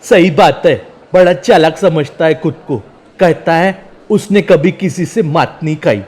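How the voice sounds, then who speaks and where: A man's voice: fairly high pitch, unhurried, a little mellow, breathy edge. A man voicing a mischievous, taunting gang ringleader with a brash, teasing manner. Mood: scheming.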